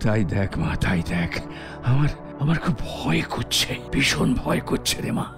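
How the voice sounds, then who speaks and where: A man voicing a frail, ailing, anxious old father in a cartoon, halting and repeating his words. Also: on the high side, sing-song, creaky, heavily acted. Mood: fearful. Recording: music behind the voice.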